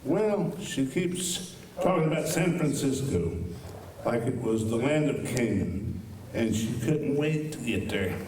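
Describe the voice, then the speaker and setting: A man's voice: mid-range voice, expressive, measured pace, darkish tone, lightly acted. A man playing a fretful, talkative general store keeper in a live old-time radio western, folksy and rambling. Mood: wistful, gossipy. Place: high school auditorium stage. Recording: echoey stage, distant mic, faint noise.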